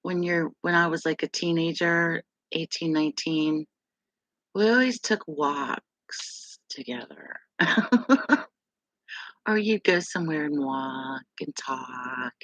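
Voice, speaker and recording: A middle-aged woman from West Virginia with a deep, gravelly voice, hosting a casual live chat with viewers, rambling and laughing often. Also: takes it slowly, fairly bright tone, no room sound. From West Virginia, USA. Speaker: live stream host.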